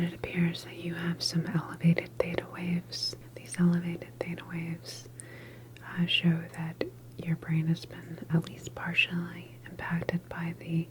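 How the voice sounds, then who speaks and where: Slow whispering woman as an ASMR doctor. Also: fairly low pitch, poor audio. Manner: slow, deliberate, reassuring clinical delivery. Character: calm female government doctor examining a patient. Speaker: ASMR roleplay performer playing a doctor. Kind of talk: whispered ASMR medical roleplay.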